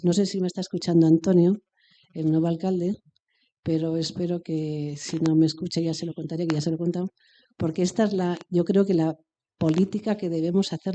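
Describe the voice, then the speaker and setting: Earnest woman, mellow voice. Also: on the low side. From Aranda de Duero, Spain. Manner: hesitant at first, then emphatic and earnest. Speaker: municipal treasurer and panel speaker. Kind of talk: impromptu panel remarks.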